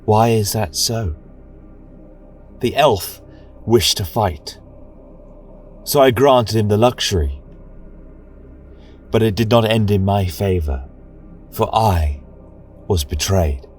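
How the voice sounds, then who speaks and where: A man's voice: on the low side, takes it slowly, pauses often, darkish tone, soft background music. A man voicing a devout, indignant warrior saint woman, declaiming in short, emphatic phrases as in a fantasy scripture. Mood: brooding.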